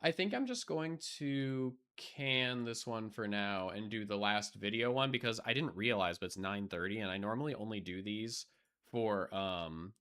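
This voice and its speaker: A man's voice: lowish voice, normal speed, fairly bright tone, clear, resonant tone. A young man chatting casually on a live stream, rambling with lots of fillers and restarts, blunt and profane, and losing his voice.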